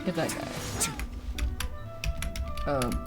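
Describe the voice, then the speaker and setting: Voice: drawn-out words, over loud music. A young adult live streamer giving casual, informal, self-deprecating commentary while playing a game. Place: indoor live stream with game music behind.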